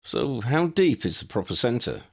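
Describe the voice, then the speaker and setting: A man's voice: medium pitch, wildly swooping pitch, a little slow, creaky, fairly bright tone, husky, voice pushed up, heavily acted. A man voicing a plain-spoken, decisive young man in an audiobook. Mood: questioning. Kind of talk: audiobook character voice.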